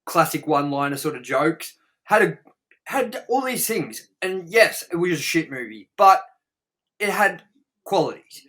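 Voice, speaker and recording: A man with a bright, fairly high voice talks through a casual movie review. He is emphatic and opinionated, swearing freely as he makes his case as co-host.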